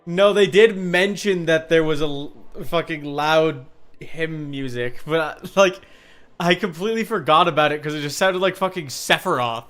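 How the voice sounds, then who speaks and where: A man's voice: fairly high pitch, normal speed, a bit bright. A man bantering casually and jokily, with swearing, as he streams a game. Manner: jokey, profane.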